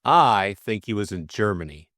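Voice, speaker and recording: A man voicing a breezy, excitable young English gentleman sleuth in an audiobook, full of upper-class slang and exclamations. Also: lowish voice, moderate pace, no room sound, studio quality. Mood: shrewd, earnest.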